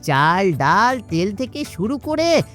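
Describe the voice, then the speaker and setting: Woman as a crafty cartoon sidekick man, fawning, theatrical, extremely animated pitch, slow delivery. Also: soft background music. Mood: proclaiming. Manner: obsequious, exaggerated, theatrical. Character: fawning, crafty male henchman of a village boss. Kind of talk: animated moral story voice acting.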